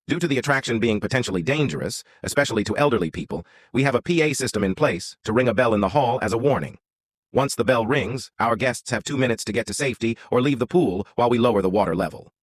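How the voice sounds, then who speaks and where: A man's voice: mid-range voice, no room sound, studio quality. A man reading out an online story as a scripted narration.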